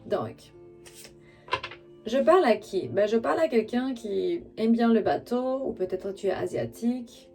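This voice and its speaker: A woman who is not a native French speaker gives an intuitive tarot reading straight to the listener, halting as she searches for words. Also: fairly high pitch, lively intonation, creaky, mellow, soft background music. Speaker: spiritual tarot reader and channel host.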